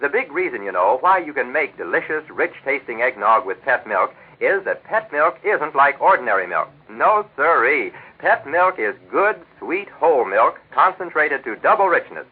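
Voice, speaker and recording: An American man sells a sponsor's product in chatty 1940s radio style. His husky voice rises and falls freely in a folksy patter. It comes through as a narrow, phone-like old broadcast. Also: mid-range voice, very animated, normal speed, hardly any pauses. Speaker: radio announcer and sponsor's pitchman. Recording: vintage 1940s radio broadcast, telephone-like band.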